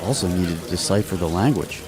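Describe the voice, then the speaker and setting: Casting: man to play a deep-voiced, dry-witted sergeant major, loyal and sparing with words, radio drama with crowd behind. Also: brisk, close to an everyday voice. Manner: laconic, deadpan. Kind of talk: post-apocalyptic radio drama acting.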